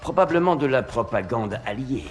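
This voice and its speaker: A man playing an arrogant Gestapo interrogator in a war game, speaking in a deliberate, condescending way. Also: mid-range voice, expressive, faint music behind, close to an everyday voice. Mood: scornful, calculating. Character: arrogant Nazi Gestapo interrogator who savors his own voice. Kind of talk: cinematic war game acting.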